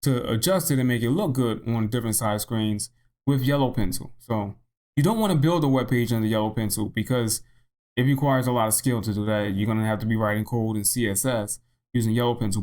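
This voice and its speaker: A man narrating a software tutorial in a casual, unscripted way, thinking aloud and repeating himself, his voice slightly hoarse. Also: mid-range voice. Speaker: tutorial host.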